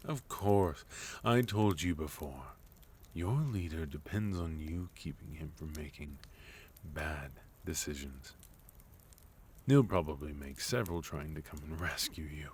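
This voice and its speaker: A man playing a sophisticated, courteous villain leader in a soft-spoken, gently teasing ASMR roleplay. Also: a bass voice, a little slow, slightly rough, full character voice. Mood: smooth, teasing. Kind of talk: ASMR roleplay, intimate scripted monologue to listener.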